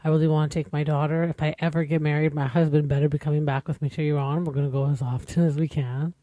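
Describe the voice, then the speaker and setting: A woman's voice: low-pitched, flat delivery, fast, dark tone, no room sound. A woman in her forties hosting a podcast, talking in an earnest, rambling, conversational way. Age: 40s. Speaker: podcast host. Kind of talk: casual podcast monologue.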